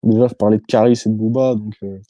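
Mellow-voiced French man on a video call. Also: fairly low pitch, fairly even intonation, fast talker, no room sound. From France. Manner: hesitant, many fillers and restarts, loose slang. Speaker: YouTuber guest. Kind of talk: casual interview answers.

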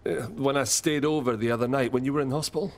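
A man's voice: mid-range voice, fairly even intonation, fast, natural voice. An actor playing an easy-going, cheeky young village man with a British accent in a radio soap. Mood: casual. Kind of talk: naturalistic radio soap acting.

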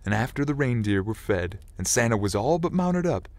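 A man narrating a scripted Christmas story as an animated storyteller. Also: mid-range voice, a little fast.

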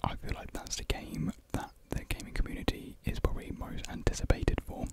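A British man in his twenties whispering a casual ASMR ramble softly, close to a desktop microphone in a small room. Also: fairly quick. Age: 20s. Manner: soft unvoiced whispering. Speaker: ASMR video creator. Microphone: close desktop USB microphone.

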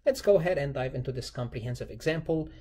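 A man's voice: mid-range voice, expressive, quick pace, dark tone. A man lecturing as an instructor, explaining step by step in an emphatic way, repeating key phrases. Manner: emphatic, repeats key phrases, asks and answers own questions. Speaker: accounting lecturer.

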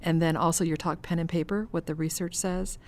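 A woman's voice: on the low side, steady tone, fairly quick, a little mellow. A woman hosting a podcast, relaying listener questions and pointing listeners to resources in an organized way. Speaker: podcast host.

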